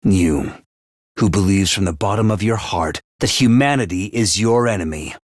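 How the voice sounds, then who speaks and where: An actor playing a theatrical, scheming schoolmaster who barks cheerful orders at troops and then drops to low, calm menace. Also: male voice, normal speed, no room sound, studio quality, natural voice. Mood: calm sinister. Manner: booming, swings between shouted cheer and low menace. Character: manic theatrical male drill-sergeant schoolmaster, scheming. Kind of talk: video game voice lines.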